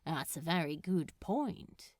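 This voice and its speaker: A woman's voice: low-pitched, lively intonation, takes it slowly, darkish tone, no room sound, clean studio sound, pitched below the performer's own voice, full character voice. A woman voicing a shy, polite, fussy bookseller man in a fan fiction audiobook, prim and easily flustered. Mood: fussy, concerned.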